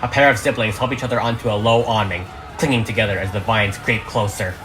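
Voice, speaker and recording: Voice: mid-range voice, fairly even intonation, fast talker. A narrator reading an audio drama script aloud, stage directions included, in a dramatic way. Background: music.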